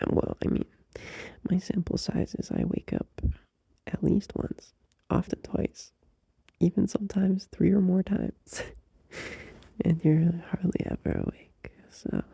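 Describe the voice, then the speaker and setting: A man voicing a gentle, loving young boyfriend in an ASMR audio roleplay, talking in a soft, drowsy whisper close to the mic. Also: high voice, huge pitch swings, vocal fry, slightly rough, dry recording, exaggerated voice. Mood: tender, sleepy. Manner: soft drowsy whisper, unhurried, with small laughs.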